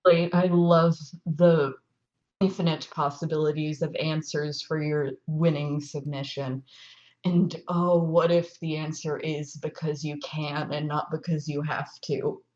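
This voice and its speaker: A woman's voice: deep voice, little pitch movement, a little slow, a little mellow. A woman chatting casually as a podcast co-host. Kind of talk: casual chat. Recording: slightly dull, lo-fi microphone.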